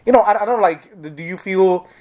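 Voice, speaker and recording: A woman's voice: mid-range voice, a little fast, warm tone. A woman hosting a casual interview, talking candidly and bluntly and swearing freely. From big city. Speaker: host. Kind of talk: casual conversation.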